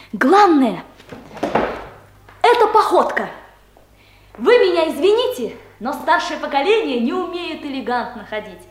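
Brisk young female secretary, radio comedy; animated, blunt, sure of herself, fairly high voice. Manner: instructive, emphatic, self-assured. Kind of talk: 1970s Soviet radio comedy acting.

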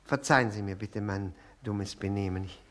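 An actor playing a servile, obsessive, self-abasing widower in a 1960s radio drama, halting and pleading, repeating his words. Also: lowish voice, fairly bright tone, close to an everyday voice. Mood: pleading, agitated. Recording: 1960 radio play recording.

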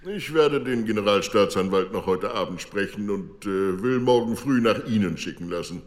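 An actor playing a lofty, impatient government minister in a radio drama, pompous and brusque. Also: male voice, on the low side, expressive, moderate pace, long reverb tail, close to an everyday voice. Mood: lofty impatience.

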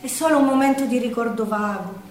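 High-voiced Sicilian woman declaiming a tragic tale. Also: brisk, darkish tone. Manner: declamatory, lamenting. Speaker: storyteller in a theatre show. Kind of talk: dramatic theatrical storytelling in verse. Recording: echoey room, distant from the mic.

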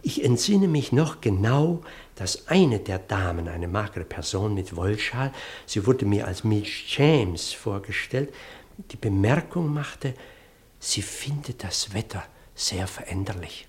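A man's voice: fairly low pitch, expressive, takes it slowly. An actor playing an earnest, long-winded parish clergyman in a German radio play, pedantic and hesitant, easily flustered as he digresses. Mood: meticulous, eager.

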